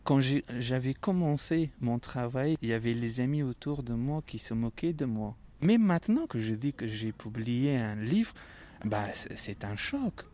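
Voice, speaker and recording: Young man, French not his mother tongue, emotional and reflective, halting delivery. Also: medium pitch, expressive, dark tone. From Afghanistan. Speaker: interviewee. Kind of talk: personal testimony.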